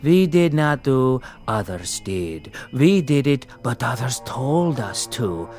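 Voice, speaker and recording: Slow cold accuser in a radio play, sardonic and relentless, bit of music behind. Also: male voice, mid-range voice, big pitch swings, a little mellow. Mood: bitter, condemning. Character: calm, relentless accuser haunting a former camp captain. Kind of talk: dramatic radio acting.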